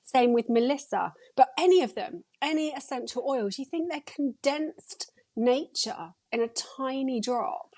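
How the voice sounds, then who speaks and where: Female podcast guest, enthusiastic and encouraging, slightly high voice. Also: expressive, measured pace, hardly any pauses, a little mellow. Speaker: podcast guest, energy healer. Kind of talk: casual podcast chat.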